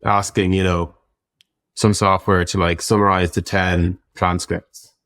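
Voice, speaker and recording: A man's voice: low-pitched, flat delivery, a little slow, a bit bright, no room sound, clean studio sound. A man speaking as an interview guest, explaining things casually and reflectively in an informal conversation. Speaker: interview guest, tech product executive.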